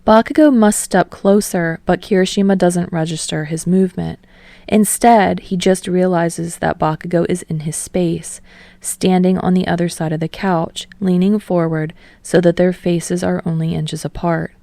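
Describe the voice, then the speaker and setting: A woman's voice: lowish voice, fairly even intonation, moderate pace, vocal fry, mellow, dry recording. A woman reading a story aloud as scripted audiobook narration. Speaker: audiobook reader of fan fiction.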